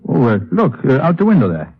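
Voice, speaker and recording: An actor playing an earnest, hesitant mountain guide in an old-time radio drama. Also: male voice, mid-range voice, huge pitch swings, quick pace, husky, exaggerated voice. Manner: hesitant, simple. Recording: tinny, narrow old radio recording.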